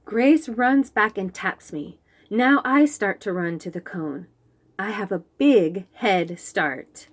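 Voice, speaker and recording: A woman reads a picture book aloud to young children. Her pitch rises and falls a great deal, her pace is slow, and her storytelling is expressive. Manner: expressive, animated.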